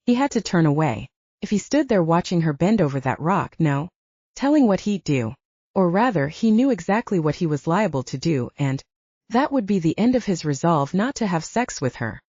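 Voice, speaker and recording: Mellow, husky woman narrating fiction. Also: on the low side, sing-song, moderate pace, no room sound, muffled recording. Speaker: audiobook narrator.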